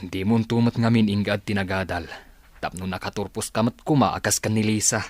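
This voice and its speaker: A man's voice: on the low side, fairly even intonation, a little fast, dry recording, natural voice. A man playing a weary, yielding middle-aged father in a radio drama, with an admonishing delivery. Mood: reproachful.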